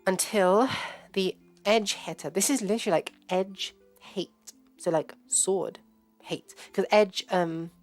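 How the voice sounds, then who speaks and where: A woman giving informal, rambling academic commentary as a livestream host, halting and digressing as she thinks aloud. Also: on the low side. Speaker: host and scholar giving a live reading and commentary. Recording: music playing behind the voice. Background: background music.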